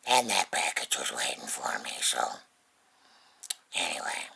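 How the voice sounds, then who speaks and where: A vlogger with a very husky, breathy, gravelly voice that often drops near a whisper, chatting casually and rambling along at home. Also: extremely low pitch, sing-song, measured pace, pushed and bright. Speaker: vlogger, host of the channel. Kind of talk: casual unboxing vlog chat. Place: indoors at home.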